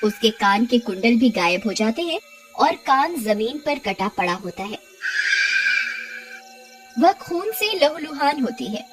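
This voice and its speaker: A woman's voice: on the high side, big pitch swings, slow, pauses often, music in the background, rough recording. A woman reading scripted narration for a cartoon horror story.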